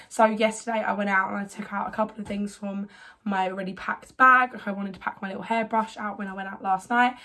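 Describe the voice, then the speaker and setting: A young woman talks chattily to a camera on a tripod at home, her voice hoarse as she gets over a cold. She rambles along with plenty of ums and likes, in the loose tone of a casual vlog. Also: mid-range voice, fairly bright tone. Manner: chatty, rambling, filler-heavy. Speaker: vlogger.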